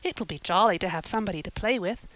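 A woman's voice: medium pitch, rapid-fire, fairly bright tone, no echo, natural voice. A woman voicing a frank, cheerful young girl who speaks plainly.

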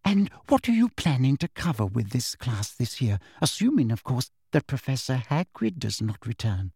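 A man voicing a prim middle-aged witch inspector who sounds falsely sweet and softly threatening. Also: mid-range voice, big pitch swings, moderate pace, vocal fry, slightly rough, no echo, studio quality, exaggerated voice. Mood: sugary, coolly polite. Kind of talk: audiobook character voice.

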